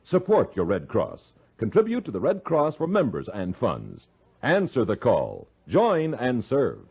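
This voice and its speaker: Male vintage radio announcer, gravelly and husky, hugely animated, emphatic appeal delivery, dull old recording. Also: medium pitch, unhurried. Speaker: public service announcer. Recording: muffled 1950s radio recording.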